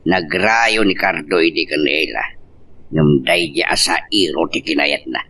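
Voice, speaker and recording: A man's voice: extremely low pitch, measured pace, pushed and bright, exaggerated voice. A man acting a troubled character in an Ilocano family drama, speaking with an Ilocano Filipino accent. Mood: reflective. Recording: distant from the microphone.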